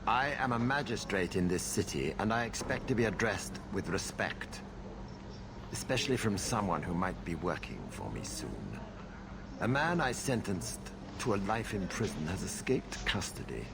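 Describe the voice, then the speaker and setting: An elderly, imperious city magistrate in a fantasy game. His low voice swings widely as he speaks with pompous command, over clear background ambience. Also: sing-song, normal speed, noisy background. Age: older. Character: haughty old male city magistrate, stern and imperious. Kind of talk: dramatic video game acting.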